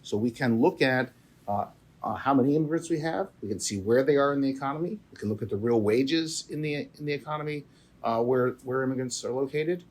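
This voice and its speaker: Man, husky voice, economist guest, emphatic, restarts often. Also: medium pitch, brisk, darkish tone. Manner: emphatic, repeats words when restarting. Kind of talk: explanatory interview answers.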